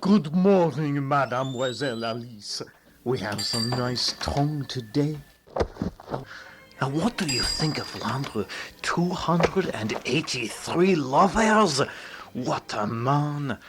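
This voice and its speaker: A man's voice: medium pitch, animated, slow pace, noisy background. A man playing a chatty, brash Parisian butcher in a radio drama, given to exclamation. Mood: jovial, admiring.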